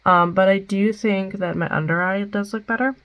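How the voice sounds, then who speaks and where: A woman's voice: medium pitch, normal speed, lo-fi sound. A young woman with a Midwestern American accent and a stuffed-up nose, chatting casually through a makeup wear-test vlog with many ums. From Midwestern United States. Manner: chatty, many ums, reads product details. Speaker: beauty vlogger.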